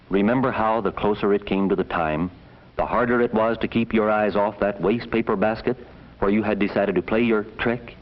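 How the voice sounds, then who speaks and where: A man plays a stern narrator of a vintage social guidance film. His low voice moves deliberately, pressing reproach on the boys he addresses. The soundtrack is dull and dated. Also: lightly acted. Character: stern reproachful male narrator lecturing schoolboys. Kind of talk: 1950s educational film narration, second-person address.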